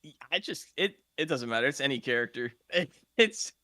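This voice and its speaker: A young man in casual podcast chat, speaking in an animated, slangy way. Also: mid-range voice, fairly quick, dry recording, clean studio sound. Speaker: guest streamer and roleplayer.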